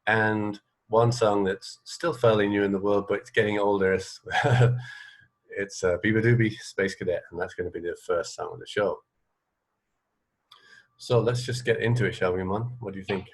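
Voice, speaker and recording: Low-pitched English man hosting radio chat. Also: steady tone, moderate pace. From England. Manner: rambling, hesitant, self-correcting. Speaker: radio show host and interviewer. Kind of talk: casual rambling radio interview chat.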